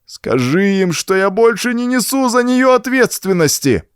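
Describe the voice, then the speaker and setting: A man's voice: high voice, sing-song, normal speed, clear, resonant tone, no echo, clean studio sound, pitched above the performer's own voice. A man voicing a terrified older rancher in agony, frantic and shrieking, in a horror audiobook. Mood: pained panic.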